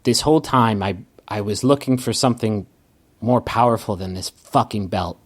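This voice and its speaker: A man voicing a weary, regretful woman thief in a tabletop game, speaking gravely and haltingly. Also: lowish voice, lightly acted. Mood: bitter regret. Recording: music under the voice.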